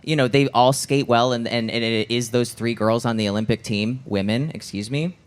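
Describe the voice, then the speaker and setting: A man co-hosting a live podcast in casual chat, halting and emphatic, repeating words as he goes. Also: mid-range voice, steady tone. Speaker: podcast co-host. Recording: live show with faint background noise.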